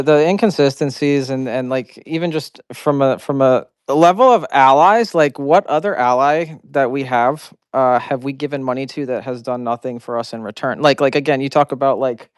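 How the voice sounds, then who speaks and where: A man's voice: mid-range voice, moderate pace, clean tone, dry recording, clean studio sound. A man joining a live audio debate, rambling with slang and many fillers. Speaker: participant. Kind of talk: casual heated debate.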